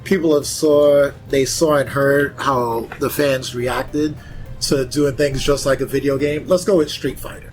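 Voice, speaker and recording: A man's voice: mid-range voice, fairly even intonation, a little slow, soft background music. A man in casual group conversation, giving opinions emphatically and repeating himself to make a point. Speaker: panelist at a fan round table.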